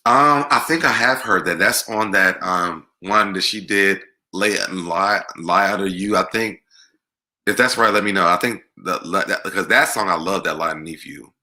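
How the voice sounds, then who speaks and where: An American man with a deep voice hosting a casual music Q&A, talking conversationally and repeating words for emphasis. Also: normal speed, bright, forceful tone. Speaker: online show host. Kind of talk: casual live Q&A chat about music.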